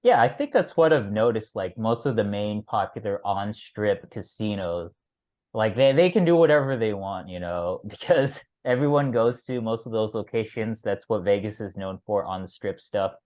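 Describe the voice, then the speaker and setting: A man's voice: lowish voice, expressive, moderate pace. A man from California hosting a podcast, chatting casually and agreeably with his guest. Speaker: podcast host. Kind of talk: casual interview chat. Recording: tinny phone-like sound.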